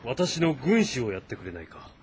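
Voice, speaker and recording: A man playing an earnest, cautious warlord of ancient China in a game drama, with a weighty, deliberate delivery. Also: mid-range voice, very animated, slight background noise, natural voice. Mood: sincere. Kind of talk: dramatic audio-novel acting in a video game.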